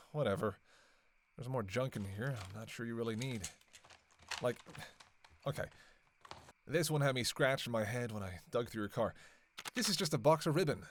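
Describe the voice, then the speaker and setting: A man's voice: medium pitch, background noise. A man playing a sarcastic, teasing vampire in a casual roleplay monologue, needling playfully and hesitating as he goes. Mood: sardonic nitpicking. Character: sarcastic, teasing male vampire on a road trip.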